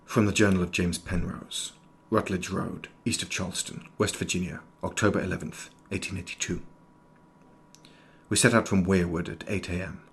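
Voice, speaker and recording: Audio drama doctor, 19th century, male actor: deep register, rough-edged husky tone, precise and ornate diction, erudite and formal. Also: fairly even intonation, normal speed, heavily acted. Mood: grave, introspective. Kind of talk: scripted audio drama, journal narration and dialogue.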